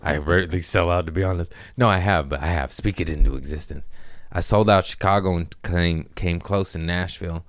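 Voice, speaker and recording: Deep-voiced man in loose profane banter. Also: brisk, no echo, clean studio sound. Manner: loose, profane, jokey rambling. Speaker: podcast host, comedian. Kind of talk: casual chat.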